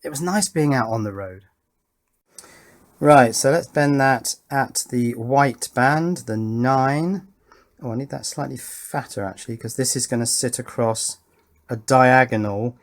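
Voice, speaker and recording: A man's voice: mid-range voice, a little slow. A middle-aged man, talking casually and thoughtfully as he hosts an electronics tutorial, rambling a little in a matter-of-fact way. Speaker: electronics hobbyist video host. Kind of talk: casual chatty tutorial narration with reminiscence.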